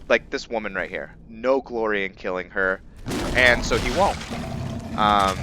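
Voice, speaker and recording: A man giving casual let's play commentary as he roleplays, rambling and halting as he thinks aloud. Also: fairly low pitch, noisy background. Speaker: gaming video host, roleplayer.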